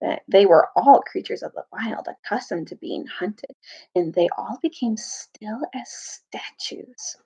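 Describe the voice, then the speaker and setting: A woman's voice: mid-range voice, unhurried, mellow, husky. A woman reading a children's novel aloud as a storyteller, expressively, now and then stumbling and rereading a line. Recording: dull lo-fi audio.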